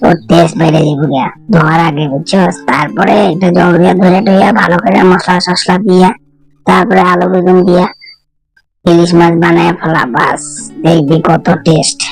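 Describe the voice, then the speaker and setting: A woman's voice: fairly low pitch, fairly even intonation, moderate pace, gravelly, faint music behind, rough recording. A woman voicing a chatty village friend in a cartoon, talking familiarly in a colloquial eastern Bengali dialect. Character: chatty village women friends swapping home recipes.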